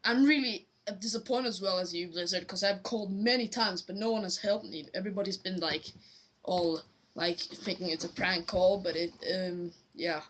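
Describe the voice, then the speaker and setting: A woman playing a hapless, clueless customer on an improvised prank call, talking in halting, rambling stammers. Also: on the low side, natural voice, low-quality recording. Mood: earnest, pleading. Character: hapless, clueless gamer customer pleading for help. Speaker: prank caller.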